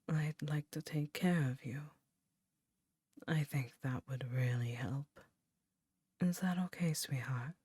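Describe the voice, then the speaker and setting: An ancient demon queen, as a woman puts her on, whispering very low and dark right up against a close mic. She speaks slowly and intimately, teasing yet motherly. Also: no echo, heavily acted. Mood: soothing. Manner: teasing, then gently nurturing. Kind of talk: ASMR audio roleplay.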